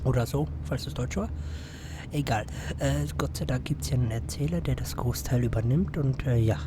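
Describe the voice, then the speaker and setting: A hoarse man hosting a let's play, commentating casually with rambling, mumbled asides, sitting close to the mic. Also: medium pitch, normal speed, noisy background. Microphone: close to the microphone.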